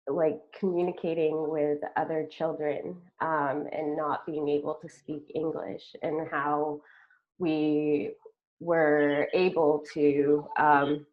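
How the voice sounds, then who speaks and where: Low slow woman on a tinny call. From Sudan. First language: Arabic. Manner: hesitant, many pauses and fillers. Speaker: artist guest. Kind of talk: casual panel conversation. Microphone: video call. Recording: tinny phone-like video call audio.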